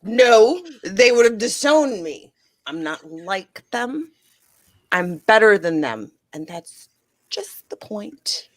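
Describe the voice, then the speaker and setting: A woman's voice: mid-range voice, very animated, slow. A woman acting a proud, scornful young warrior in a comedic script read.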